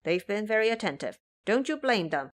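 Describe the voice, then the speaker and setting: A grumbling, blustering pig disciple from an audio drama, put on by a woman. He is a blunt fool who complains freely. His pitch moves about in a lively way. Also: a little fast, natural voice. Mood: earnest. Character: Ba Jie, foolish, grumbling male pig disciple.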